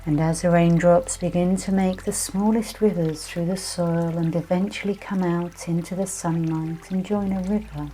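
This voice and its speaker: A woman with a low-set, very mellow voice guides a meditation. She speaks gently and without hurry, leaving pauses between phrases as she narrates a calming visualization. Soft music plays faintly behind her. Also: rough recording. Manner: gentle, soothing, unhurried hypnotic delivery with many pauses. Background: soft music with trickling, dripping water.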